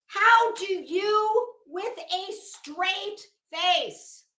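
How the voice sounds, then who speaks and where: High-voiced sarcastic woman in an echoey room. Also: drawn-out words, bright, forceful tone. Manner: sarcastic, taunting, emphatic. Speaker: online commentator reading a legal motion aloud. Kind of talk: dramatic reading with mocking asides.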